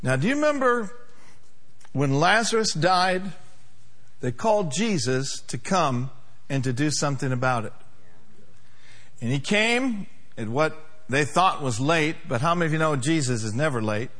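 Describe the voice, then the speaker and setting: Bright high-pitched preaching man. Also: animated, normal speed. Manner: emphatic preaching with call-and-response. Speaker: pastor. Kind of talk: sermon.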